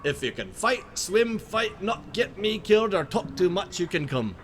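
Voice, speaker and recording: Game role: male actor as plucky rustic sailor, high voice, very animated, music underneath. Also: moderate pace. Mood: determined, matter-of-fact. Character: plucky male sailor whose family was abducted. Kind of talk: video game character dialogue.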